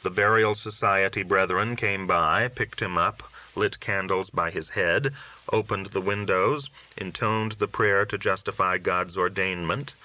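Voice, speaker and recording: A man narrating a literary story in a measured, solemn reading style. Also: low voice, highs cut off. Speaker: audiobook reader.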